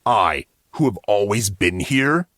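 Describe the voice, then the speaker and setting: Man voicing a deep, cold, menacing wild villain. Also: animated, slow pace, fairly bright tone, no room sound. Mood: angry menace. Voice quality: cold, low voice. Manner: menacing, mocking. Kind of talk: audiobook character acting.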